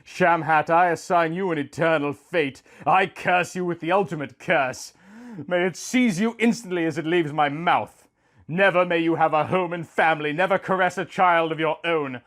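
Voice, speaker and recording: A man acts out a dying warrior from an ancient epic, bitter and anguished. The voice is fairly high and declaims with passion, as in a dramatic storybook reading. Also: moderate pace, a bit bright, lightly acted. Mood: furious cursing. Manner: impassioned, declamatory. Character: dying wild warrior, bitter and anguished, cursing his fate.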